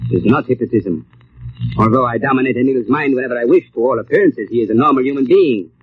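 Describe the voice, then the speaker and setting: An actor playing an arrogant, villainous mind-controlling mastermind in an old radio drama, with a suave, menacing, imperious manner. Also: male voice, mid-range voice, fast, natural voice. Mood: grandiose, menacing. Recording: tinny, noisy old radio recording.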